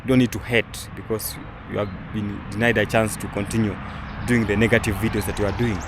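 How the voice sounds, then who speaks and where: A man's voice: fairly low pitch, flat delivery, fairly quick. A young man, a travel vlogger, giving casual commentary outdoors, rambling with many fillers and restarts. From Kenya. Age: young adult. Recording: outdoor recording with traffic noise.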